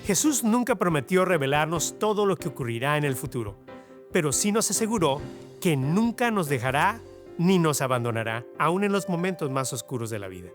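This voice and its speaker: A man's voice: fairly high pitch, big pitch swings, fairly quick. A man reading scripted promotional narration as the announcer of a religious program. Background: music.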